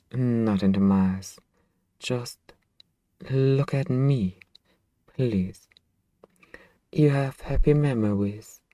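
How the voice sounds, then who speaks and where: A man playing a possessive, doting vampire master in an intimate, coaxing ASMR roleplay, with hushed, drawn-out phrasing. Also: medium pitch, dry recording, lightly acted. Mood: gentle, soothing. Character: possessive male vampire master, hypnotic, doting, anxiously tender.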